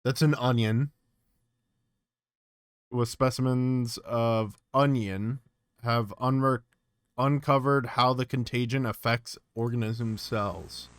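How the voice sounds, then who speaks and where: Clear-voiced man musing as he streams. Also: medium pitch, fairly even intonation, slow pace, no room sound, clean studio sound. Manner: musing, thinking aloud. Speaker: streamer reacting to an analog horror series. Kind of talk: casual reaction commentary, reading on-screen text aloud.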